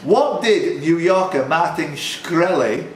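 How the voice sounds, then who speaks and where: High-pitched animated man hosting a pub quiz. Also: slow pace. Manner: jokey, teasing contestants. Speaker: quiz host. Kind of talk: live pub quiz hosting, reading questions with banter. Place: pub, indoors. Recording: echoey, noisy pub room.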